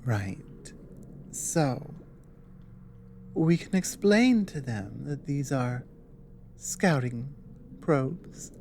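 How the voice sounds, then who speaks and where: A woman playing an earnest, apologetic female dragon in an ASMR audio roleplay, explaining things hesitantly in a soft voice. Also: sits low, slight background noise. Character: earnest, apologetic female dragon warning a human ally of war.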